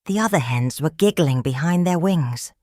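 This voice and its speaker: A woman reading a children's picture book aloud in a calm, measured, expressive storytelling manner. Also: low-pitched, dry recording, clean studio sound. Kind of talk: children's read-aloud narration.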